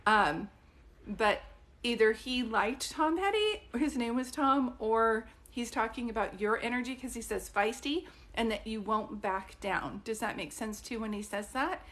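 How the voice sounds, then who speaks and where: A middle-aged woman speaks in a fairly high voice, chatty and thinking aloud as she gives readings on a live stream. She often breaks off and restarts a word, asking her listeners whether it makes sense. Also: expressive, measured pace, a bit bright. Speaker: psychic medium.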